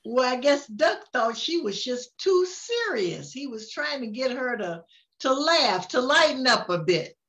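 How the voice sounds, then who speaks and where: An older woman reading to children and chatting gently about a picture book, often laughing softly. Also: sits high, sing-song, unhurried. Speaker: storytime reader. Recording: dull lo-fi sound.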